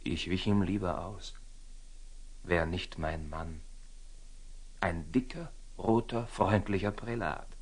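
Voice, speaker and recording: An actor playing a proud, impetuous young Templar knight in a classical radio drama, speaking bluntly and with passion. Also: male voice, deep voice, slow, pauses often, husky, heavily acted. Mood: restless, impatient. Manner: impassioned, blunt, questioning.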